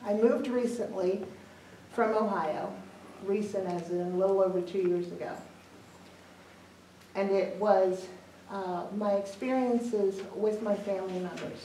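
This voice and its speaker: A middle-aged woman speaks calmly and earnestly, a death doula sharing her story at a panel. Her voice sits at an average pitch and is picked up from a distance, so it sounds roomy and hollow. A light hiss runs underneath. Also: a little slow. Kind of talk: personal talk to an audience. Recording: echoey room, far from the mic, some noise.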